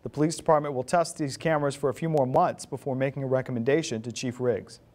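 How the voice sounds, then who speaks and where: A man's voice: mid-range voice, steady tone, fast talker, darkish tone. A man reading scripted news as a television anchor. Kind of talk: scripted newscast delivery.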